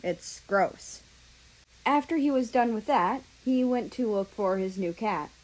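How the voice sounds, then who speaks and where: A woman's voice: on the high side, expressive, a little slow, a little mellow, poor audio. A woman reading a third-person fan fiction story aloud as a narrator.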